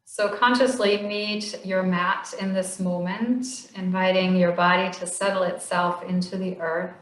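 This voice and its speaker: A woman slowly leading a guided body scan meditation in a gentle, soothing voice with long pauses. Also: lowish voice, level tone, reverberant, rough recording. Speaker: meditation instructor.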